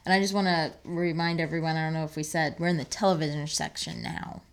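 Chatty woman co-host with a lowish voice. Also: fairly even intonation, fast, creaky. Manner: chatty, enthusiastic. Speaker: podcast co-host. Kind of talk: casual conversational podcast chat.

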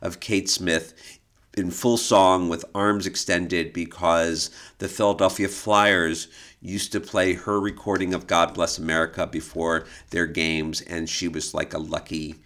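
Low-voiced man explaining on a podcast. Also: level tone, a little slow, fairly bright tone. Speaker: podcast host and expert guide. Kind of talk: informal explanatory podcast conversation about history.

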